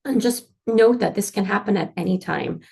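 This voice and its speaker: A woman's voice: mid-range voice, normal speed, vocal fry, darkish tone, husky. A woman with a North American accent presenting a pre-departure information session for students going abroad, friendly and informative with frequent fillers. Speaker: international office staff presenter.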